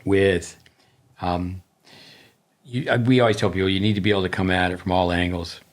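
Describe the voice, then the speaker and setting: Deep-voiced middle-aged man coaching talk. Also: fairly even intonation, moderate pace. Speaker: tennis coach, podcast co-host. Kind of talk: casual podcast chat.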